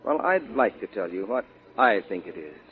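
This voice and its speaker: An American man giving a declamatory patriotic oration in deliberate phrases on 1940s radio. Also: on the low side, sing-song. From United States, Kansas. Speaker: guest speaker. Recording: dull 1940s radio recording.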